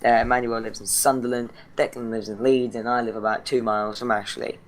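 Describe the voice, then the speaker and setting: A teenage boy with a British accent and a croaky, hoarse throat chats loosely about his gaming channel. He rambles, stalls on ums and restarts, and his pitch barely moves, staying flat throughout. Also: fairly quick. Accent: British English. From Britain. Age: teens. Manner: rambling, chatty, many fillers and restarts. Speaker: channel host. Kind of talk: casual gaming channel intro.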